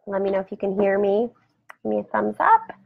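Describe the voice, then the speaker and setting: A woman hosting a casual live video chat from her home, rambling warmly and greeting viewers by name, her voice scratchy today. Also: medium pitch, animated, brisk, creaky, dry recording, phone-quality sound. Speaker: host. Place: home room beside a turtle aquarium. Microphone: webcam for a live video.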